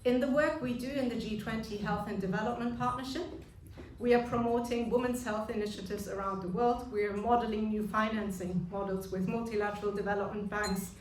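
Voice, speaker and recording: A woman's voice: mid-range voice, fairly even intonation, fairly quick, slight background noise. German-accented woman speaking in an echoey hall. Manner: earnest, with hesitant fillers. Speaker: award speaker. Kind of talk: speech at an awards evening. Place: large echoey function room. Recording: echoey room, distant mic, music behind.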